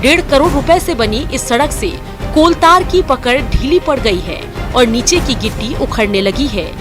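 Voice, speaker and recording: High-pitched Indian woman reading TV news. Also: lively intonation, normal speed, over music. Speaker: news narrator. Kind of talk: scripted TV news voice-over.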